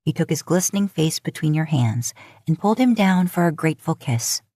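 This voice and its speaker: Low, slightly husky woman narrating romance. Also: expressive, fairly quick, mellow, dry recording, clean studio sound. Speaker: podfic narrator. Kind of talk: scripted second-person romantic story narration.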